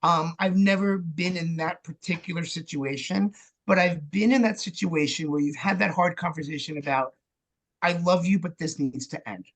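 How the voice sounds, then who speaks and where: A man's voice: a little high, moderate pace. A man talking candidly and casually as an interview guest, acting out little quoted asides. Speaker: interview guest, theatre director and playwright.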